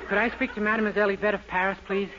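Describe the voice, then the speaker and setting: A man's voice: high voice, little pitch movement, racing, dull audio, a crowd in the background, noisy background, lightly acted. An actor playing a naive, bashful young man who gets flustered easily, in 1940s radio sitcom acting.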